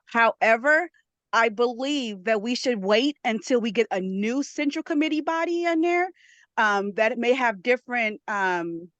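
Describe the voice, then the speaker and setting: A woman's voice: on the high side, animated, measured pace, fairly bright tone, no room sound, lo-fi sound. A woman club president speaking emphatically at an online meeting, pressing her case. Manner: emphatic, advocating. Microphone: video call.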